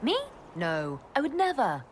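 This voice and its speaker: An actress voicing a young elf mage leader in a video game, dry and composed. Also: female voice, mid-range voice, wildly swooping pitch, unhurried, a little hiss or hum. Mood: amused. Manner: dry, wry.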